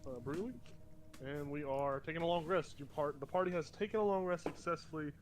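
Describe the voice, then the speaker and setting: A young man runs a tabletop game for his friends, explaining rules step by step with lots of "uh" and "so". He sounds a little stuffed up, as if a cold is coming on, and his voice sits slightly high for a man. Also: fast, slight background noise. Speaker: game master.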